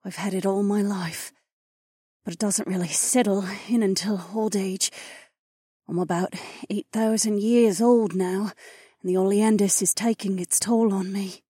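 Man voicing a frail, breathy ancient she-dragon. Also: sits high, fairly even intonation, measured pace, warm tone, dry recording, studio quality, pitched above the performer's own voice. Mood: weary, insistent. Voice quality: breathy, weak. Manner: weary, murmuring. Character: frail ancient female dragon, a dying, tender mother.

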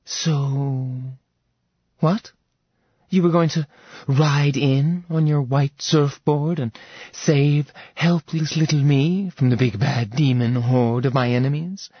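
A man's voice: fairly high pitch, fairly even intonation, slightly rough, no room sound, highs cut off, pitched above the performer's own voice. A man narrating an audiobook voices a regal, bloodthirsty goddess who taunts and threatens, sometimes sinking to a whisper. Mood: mocking. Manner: theatrical, taunting, drawn-out threats dropping to a whisper. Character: regal menacing goddess woman, bloodthirsty and mocking.

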